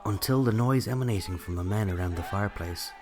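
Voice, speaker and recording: A man's voice: lowish voice, fast, lightly acted. An Irish man playing a narrator who recalls a night from his childhood, in scripted first-person storytelling. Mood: reflective.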